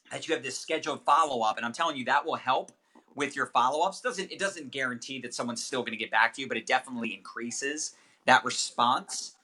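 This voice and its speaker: A man's voice: mid-range voice, pushed and bright, husky. A man giving chatty, upbeat coaching tips as the guest of a casual livestream interview, with many fillers. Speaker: network marketing trainer, guest.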